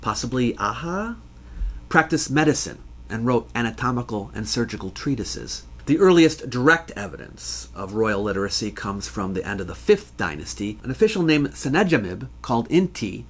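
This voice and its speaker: Measured man explaining history. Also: mid-range voice, animated, a bit bright. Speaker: history educator and channel host. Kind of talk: scripted educational narration answering listener questions.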